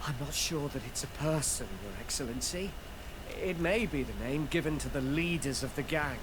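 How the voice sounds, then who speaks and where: Man acting a frightened, high-pitched pleading informant. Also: normal speed, background noise, lightly acted. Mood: deferential, worried. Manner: stammering, pleading, deferential. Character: frightened, pleading male informant, deferential and cowardly. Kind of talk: video game voice acting.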